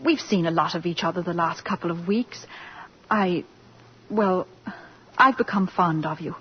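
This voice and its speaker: Husky, sensible sweetheart. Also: female voice, fairly low pitch, normal speed. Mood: reproachful. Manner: reproachful, level-headed. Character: practical, sceptical sweetheart, a sensible adult woman. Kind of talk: 1940s radio drama acting. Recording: dull 1940s radio broadcast.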